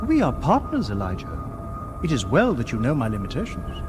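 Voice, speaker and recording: An actor playing a humanlike robot detective in a radio drama, calm, literal and dutiful, with formal, precise, measured wording. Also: male voice, medium pitch, over music. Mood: calm, matter-of-fact. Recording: noisy old radio drama soundtrack.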